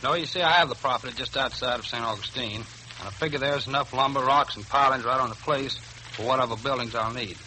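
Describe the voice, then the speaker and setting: An American man speaks as a sergeant guest in a vintage radio interview. He reads politely and quickly in a bright, forceful voice, with faint hiss on the old recording. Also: medium pitch, poor audio. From Florida, United States. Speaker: army sergeant, prize-winning guest.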